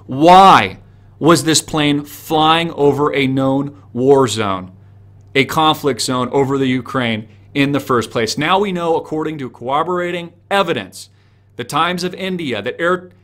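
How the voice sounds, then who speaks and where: Man, fairly high voice, political video commentary, emphatic, repetitive, rhetorical questions, direct address to listeners. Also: a bit bright. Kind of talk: impassioned political commentary to camera.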